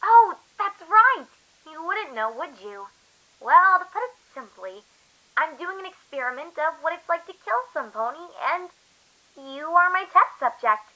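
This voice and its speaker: A woman's voice: very high-pitched, sing-song, measured pace, sharp tone, exaggerated voice, poor audio. A woman voicing a deranged young female pony student in a dramatic audio reading, coldly curious, precise and falsely sweet. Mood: falsely sweet, menacing.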